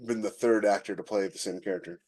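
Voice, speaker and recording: Male co-host with a moderately low voice, explaining things informally in relaxed podcast conversation. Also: fast. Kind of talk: casual chat.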